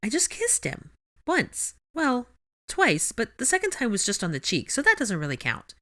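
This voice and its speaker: A woman voicing a flustered, defensive teenage witch with a high, breathless delivery. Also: sing-song, measured pace, a bit bright.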